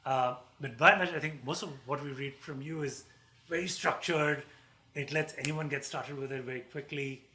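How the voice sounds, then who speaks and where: A man's voice: medium pitch, steady tone, moderate pace, bright, forceful tone. A man co-hosting a livestream who asks long, winding questions full of fillers. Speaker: co-host. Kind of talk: conversational livestream interview.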